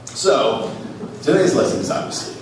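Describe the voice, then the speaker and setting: A man hosts a live comedy reading with lively, swinging intonation and a wry manner. He is heard at a distance from the mic in a heavily reverberant theater. There is clear noise around his voice. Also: a little high, normal speed. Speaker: comedy show host. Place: live on stage in a small theater.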